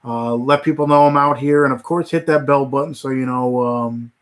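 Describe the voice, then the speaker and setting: A man hosting a casual livestream, rambling with many fillers and restarts, his voice starting to go hoarse. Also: medium pitch, steady tone, normal speed. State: voice getting hoarse. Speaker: livestream host.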